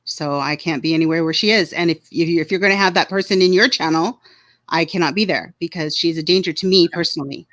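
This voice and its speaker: A woman's voice: on the low side, fast, fairly bright tone, no room sound. A woman with a Southern American accent co-hosting a casual chat, candid and laughing often as she talks straight to commenters. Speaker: co-host of a chat stream.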